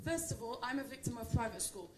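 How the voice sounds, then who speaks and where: A woman's voice: on the high side, lively intonation, fast talker. A young woman from Britain, a student debater arguing in a combative, challenging way. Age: young adult. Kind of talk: argumentative debate rebuttal. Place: debate event hall. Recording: roomy echo, faint noise and clicks.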